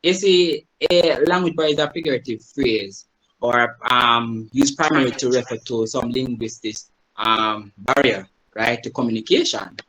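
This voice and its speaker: A man's voice: medium pitch, moderate pace, fairly bright tone, a little hiss or hum, low-quality recording. Jamaican man, language teacher presenting over a video call, explanatory and conversational. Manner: explanatory, asks the audience "right?" often. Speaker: guest presenter, Chinese teacher. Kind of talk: online presentation to a group.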